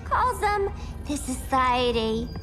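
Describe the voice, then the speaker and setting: A woman's voice: high voice, big pitch swings, takes it slowly, a bit bright, heavily acted. An American actress playing a zany, chirpy, wisecracking female ex-villain in a video game, playful, slangy and theatrical. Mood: perky, playful. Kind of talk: video game cutscene acting. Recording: long reverb under clear music.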